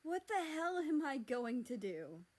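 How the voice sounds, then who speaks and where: Woman voicing a small, hot-tempered, lovesick guy, raised pitch. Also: very animated, a little slow, a little mellow. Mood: frantic. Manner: dramatic, swings between outbursts and pleading. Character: short, hot-tempered, impulsive, lovesick male country character.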